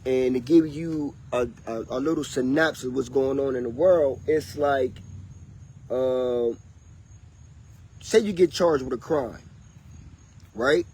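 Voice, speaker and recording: A man's voice: medium pitch, slow, long pauses, darkish tone, slightly rough. A man, talking in a loose, rambling and preachy way to his livestream viewers. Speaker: vlogger. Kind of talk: casual livestream monologue.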